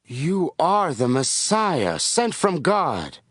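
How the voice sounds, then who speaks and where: Earnest young-spirited disciple, bible drama, lively highish voice. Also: male voice, takes it slowly, no room sound, clean studio sound, heavily acted. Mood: reverent. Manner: earnest, expressive. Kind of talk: audio Bible drama acting.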